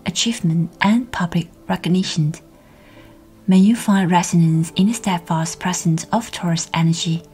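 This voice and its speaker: A woman guiding a meditation in a soft, breathy, near-whispered voice with a soothing, measured delivery. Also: lowish voice. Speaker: guided meditation host. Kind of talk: guided meditation, scripted narration. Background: background music.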